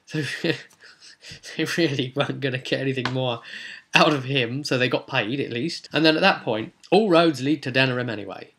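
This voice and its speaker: Bright-voiced man recapping a game. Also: medium pitch, normal speed, a little hiss or hum. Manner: rambling, frequent ums and restarts. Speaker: game master. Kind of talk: recapping a tabletop game session.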